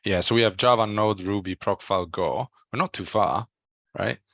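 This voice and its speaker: Guy in his 30s, deep gravelly voice, kinda tentative tech chat, dry headset sound. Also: moderate pace, a bit bright, no room sound. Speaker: software developer on a working group call. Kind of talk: casual technical discussion. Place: small room at home, video call.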